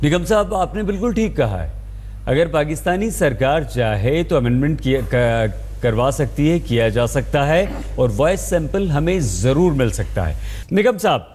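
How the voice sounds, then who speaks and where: A man's voice: on the high side, expressive, moderate pace, darkish tone, breathy edge, in a large hall. A man working as a television news anchor, summarizing and putting insistent questions to a guest.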